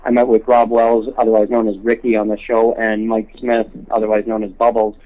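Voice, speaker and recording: A man speaking as a guest in a telephone interview, earnest and halting, often repeating words as he makes his case. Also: on the low side, monotone, dark tone, dull audio. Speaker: interview guest, homelessness awareness activist. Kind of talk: telephone interview, spontaneous advocacy talk. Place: on a telephone call.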